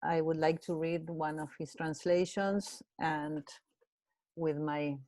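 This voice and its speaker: A woman with an Argentine accent, reading a translated poem aloud in a measured, deliberate way. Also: low voice, little pitch movement, dark tone, no room sound. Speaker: translation prize committee member.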